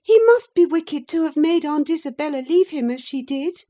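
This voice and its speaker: A woman's voice: high-pitched, moderate pace, mellow, voice pushed up, natural voice. A woman voicing a hot-tempered young girl in an audiobook, passionate and crying out. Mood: enraged. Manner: passionate, cries out. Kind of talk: audiobook character reading.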